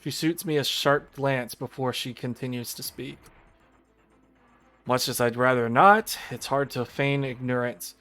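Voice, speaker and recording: A man's voice: medium pitch, unhurried, a bit bright. A man reading visual novel narration aloud as a streamer. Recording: music behind the voice.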